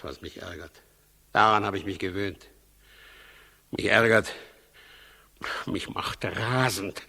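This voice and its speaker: A hard, forceful voice in a long reverb: an irritable, suspicious old-world king in a 1960s radio play. He is theatrical and imperious, swinging between commanding outbursts and bitter muttering. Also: medium pitch, full character voice. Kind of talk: 1960s German radio drama acting.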